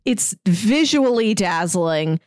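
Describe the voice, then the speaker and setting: A woman's voice: medium pitch, lively intonation, slow, dry recording, studio quality. A woman co-hosting a podcast, talking casually as she reviews movies. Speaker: podcast co-host.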